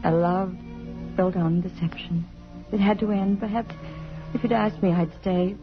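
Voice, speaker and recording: An actress playing a wistful, self-sacrificing lover in a 1950s radio drama, speaking in a measured, earnest way. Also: female voice, mid-range voice, steady tone, close to an everyday voice. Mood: resigned, tender. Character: wistful, self-sacrificing woman in a doomed love affair. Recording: dull vintage radio, music behind.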